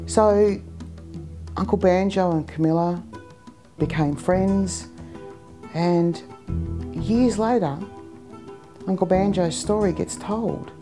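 A woman speaks slowly and earnestly about what she values. Clear guitar music plays behind her voice. She is seated indoors in an armchair. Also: fairly low pitch. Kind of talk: documentary interview.

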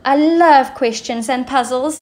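A woman's voice: sits high, a little slow, darkish tone. A woman voicing an eager, inquisitive storybook queen who is helpful and a little quarrelsome.

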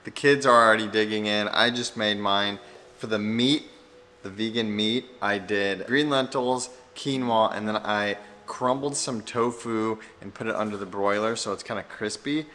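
A man's voice: fairly low pitch, normal speed, sharp tone. An American man in his thirties chatting casually to the camera as a vlogger, in an informal, easygoing way. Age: 30s. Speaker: family vlogger. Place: mostly outdoors in a garden. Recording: noisy outdoor camera audio.

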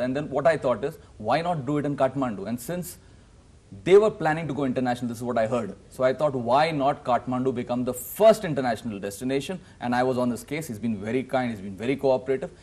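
A man's voice: mid-range voice, lively intonation, fast talker, a little mellow, slightly rough. A middle-aged man from Nepal, a guest on a studio talk show, speaking earnestly about organizing an event. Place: TV studio set.

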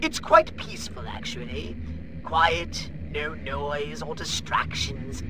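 An actor playing a whiny, excitable alien scientist who is only a floating head, with a reedy, fussy voice in a video game cutscene. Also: male voice, fairly high pitch, slow pace. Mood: bored, whiny. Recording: game music behind.

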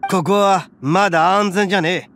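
A man voicing a boastful male oni in a game, rough, swaggering and big-hearted. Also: sits high, steady tone, moderate pace, fairly bright tone, slight background noise. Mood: reassuring. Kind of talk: game voice acting. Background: game music.

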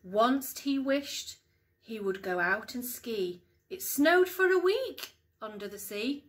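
Woman, middle-aged, highish voice, very unhurried, expressive storybook reading for children, home recording. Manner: expressive, deliberate storytelling with emphasised words. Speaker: parent reading a picture book to children. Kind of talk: storybook read-aloud for young children. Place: small living room at home.

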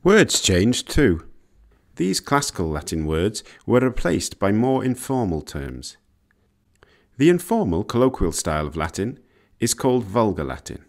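A man reading scripted educational narration in a measured, explanatory way. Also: on the low side, sing-song. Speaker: narrator of an educational language history video.

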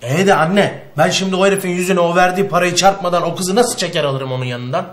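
A man's voice: high voice, fast talker, fairly bright tone. A man in his thirties playing a proud, exasperated son in a TV comedy-drama, talking in a blustering, colloquial way. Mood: indignant, worried. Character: exasperated, proud working-class son explaining himself to family.

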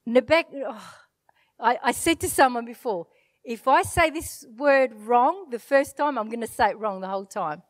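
A middle-aged woman pastor whose first language is Italian, preaching an animated sermon from a church stage into a handheld mic, with self-deprecating asides. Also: fairly high pitch, moderate pace, no room sound. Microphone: handheld microphone.

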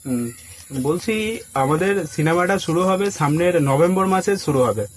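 A man's voice: high voice, lively intonation, moderate pace, a little hiss or hum. A man talking casually and persuasively on a prank call, coaxing and giving instructions like a casting agent. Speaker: prank caller posing as a film casting agent.

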